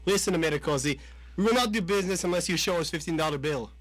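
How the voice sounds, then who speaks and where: A man's voice: a little high, quick pace, over music, close to an everyday voice. A man playing a menacing, melodramatic Russian mob boss in a radio drama, with a Russian accent and broken English. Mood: threatening.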